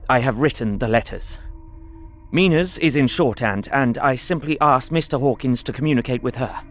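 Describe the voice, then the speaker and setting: An actor playing an earnest, anxious young solicitor held captive, narrating his journal in a measured, literary way for an audio drama. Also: mid-range voice, faint music behind, lightly acted.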